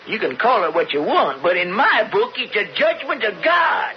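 A high-voiced, folksy cowboy. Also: male voice, big pitch swings, normal speed. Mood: defiant. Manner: folksy rural grammar, stubborn. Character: plain-spoken, superstitious frontier posse man. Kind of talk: 1970s radio drama western acting. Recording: muffled old radio broadcast with noise.

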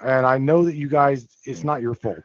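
A man's voice: mid-range voice, normal speed, no echo. A man hosting a podcast, talking earnestly, stammering and repeating himself. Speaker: podcast host. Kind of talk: casual chat. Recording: muffled lo-fi sound.

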